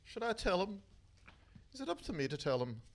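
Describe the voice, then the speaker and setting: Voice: medium pitch, very animated, a little fast, slight background noise. An actor playing a reluctant, complaining member of an outlaw band in a comic radio play. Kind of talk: radio-play comedy acting.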